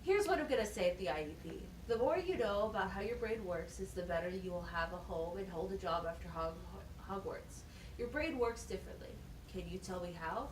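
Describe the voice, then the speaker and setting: A woman's voice: on the low side, animated, a little fast, voice pushed down, natural voice. A woman voicing an encouraging, methodical teacher in a scripted puppet show, explaining things plainly and asking questions. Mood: patient, explanatory. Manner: instructive, question-led, plain wording. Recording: roomy, distant mic, faint noise.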